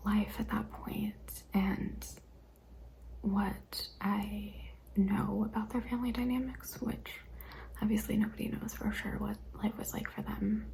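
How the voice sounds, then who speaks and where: A young adult woman hosting an ASMR questionnaire video, speaking mostly in a hesitant whisper with frequent ums and soft laughs. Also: medium pitch, creaky, a little hiss or hum. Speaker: ASMR channel host.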